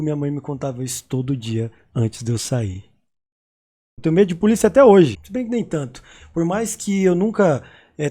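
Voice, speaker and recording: Mellow-voiced Paulista man in his thirties. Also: on the high side. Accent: Paulista Brazilian Portuguese. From São Paulo, Brazil. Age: 30s. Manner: casual and reflective, with street slang. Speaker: YouTuber. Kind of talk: personal storytelling to camera.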